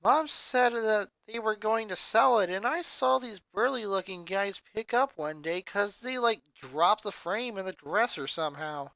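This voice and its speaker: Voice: medium pitch, lively intonation, measured pace, creaky, hardly any pauses, bright, forceful tone, dry recording, voice pushed up, exaggerated voice, rough recording. A fan reader voicing a bubbly, airheaded blonde teenage girl with valley-girl talk. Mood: gushing.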